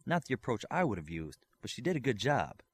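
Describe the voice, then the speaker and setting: Man voicing a composed regal woman, lively pitch. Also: a little fast, slightly rough, dry recording. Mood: authoritative. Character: composed, regal, talented woman, worldly-wise.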